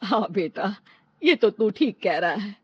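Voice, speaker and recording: A woman's voice: mid-range voice, very animated, a little slow, slightly rough, no echo. A woman playing an older, homely mother-in-law in a story, fussing and easily hurt, on a dull recording.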